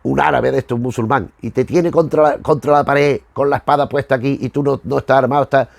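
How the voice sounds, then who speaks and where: Gravelly middle-aged man from Spain, rambling. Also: medium pitch, steady tone, quick pace, no echo. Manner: digressive, hesitant, often repeats and rephrases. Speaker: host of a retro television review. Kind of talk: casual, rambling commentary.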